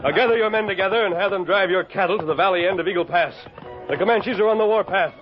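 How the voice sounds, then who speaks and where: A man's voice: sits high, fast talker, music in the background, natural voice. An actor playing a resolute masked western lawman in an old radio drama, urgent and commanding. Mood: urgent, calling out. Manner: urgent, authoritative, clipped commands. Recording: dull lo-fi vintage radio recording.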